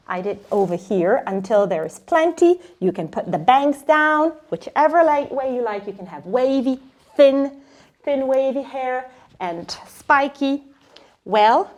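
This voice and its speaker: Female craft presenter, high voice with lively swings in pitch, encouraging and storyteller-like, informal demonstration style.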